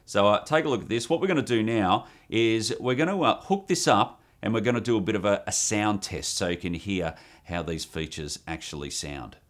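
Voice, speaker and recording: Upbeat Australian man, lowish voice. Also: animated, normal speed, hardly any pauses. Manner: enthusiastic, upbeat presenter delivery. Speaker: presenter reviewing a microphone. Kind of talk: product review demo. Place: home studio desk. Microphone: USB condenser on boom arm with pop screen.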